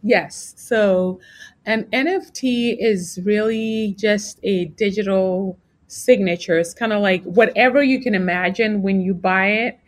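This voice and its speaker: A woman guest in an interview, calmly explaining her work in a measured way. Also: medium pitch. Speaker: podcast guest. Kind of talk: interview answers.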